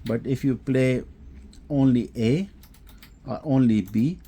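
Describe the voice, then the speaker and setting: A man giving an unscripted technical product demonstration, explaining haltingly with frequent hesitations and repeats. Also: medium pitch, dark tone, breathy edge. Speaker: host demonstrating audio equipment.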